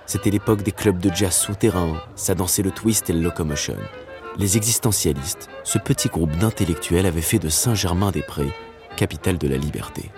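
A man's voice: sits low, a little fast, over loud music. A man in his thirties narrating a personal documentary. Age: 30s.

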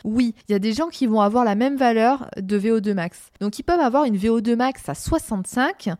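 A woman's voice: on the high side, fairly quick, a little mellow, dry recording, clean studio sound. A young woman hosting a running show, explaining things in a casual, thinking-aloud way with frequent hesitations and restarts. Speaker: running podcast host.

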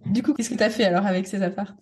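A woman's voice: medium pitch, fast talker, darkish tone. A woman hosting a podcast, asking follow-up questions in an informal, conversational way. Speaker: podcast host, interviewer. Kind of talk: casual conversational interview.

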